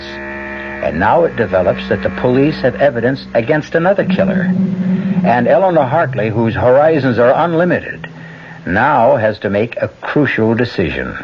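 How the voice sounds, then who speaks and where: A man narrating a radio mystery in a measured, ominous, theatrical way. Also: medium pitch, very animated, noisy background. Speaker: narrator host. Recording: dull lo-fi old radio broadcast with music behind.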